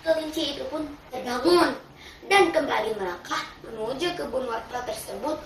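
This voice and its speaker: High-pitched child storyteller, distant and roomy. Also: moderate pace, a crowd in the background. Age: child, about 9 to 12. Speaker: storytelling contest participant. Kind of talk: expressive recited children's fable narration. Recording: roomy, distant mic, clearly noisy ambience.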